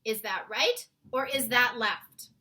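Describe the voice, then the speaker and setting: A woman voicing a big, friendly old giant from a storybook, emphatic and booming, drawing out his muddled words. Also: takes it slowly, pushed and bright. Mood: explaining, probing.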